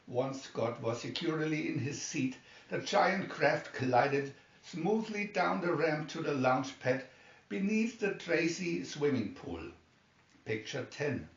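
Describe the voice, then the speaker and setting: A man with a fairly high voice narrates a scripted story in dramatic fashion. The recording has strong room echo and sounds a little dull and distant. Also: animated, slow pace. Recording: muffled, echoey room, distant mic.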